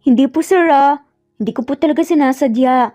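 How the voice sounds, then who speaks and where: Woman voicing a high-pitched flustered young housemaid. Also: a little fast, mellow, soft background music, lightly acted. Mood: embarrassed. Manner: stammering, exclaiming, polite. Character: flustered, embarrassed young housemaid, polite and stammering.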